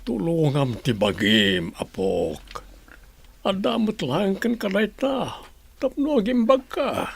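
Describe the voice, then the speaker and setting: Casting: elderly Ilocano grandpa boss, raspy and husky, lively swings in pitch, radio drama. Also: male voice, slow pace, heavily acted. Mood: caring, grumbling. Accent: Filipino (Ilocano). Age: older. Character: gruff, irritable but caring old grandfather, a boss.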